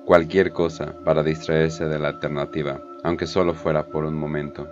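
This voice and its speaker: Deep male story narrator over music. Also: flat delivery, normal speed, dull audio. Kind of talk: scripted dramatic story narration. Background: prominent background music.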